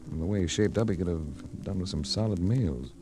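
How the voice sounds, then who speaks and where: An actor playing a wry private investigator who narrates his case in dry, measured 1940s radio drama style. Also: deep voice, expressive. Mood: wry narrating. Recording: noisy vintage 1940s radio recording.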